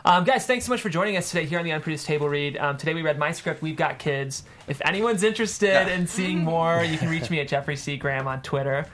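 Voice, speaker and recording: A man's voice: on the high side, fast, hardly any pauses, fairly bright tone. A man hosting a casual panel discussion, chatty and conversational, often saying "like". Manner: chatty, frequent "like". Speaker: host and screenwriter.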